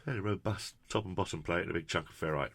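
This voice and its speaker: A man's voice: deep voice, fast talker, vocal fry, fairly bright tone, a little hiss or hum. A British man presenting a casual hands-on product review in a chatty, jokey, effusive way with quaint turns of phrase. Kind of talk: casual hands-on unboxing commentary.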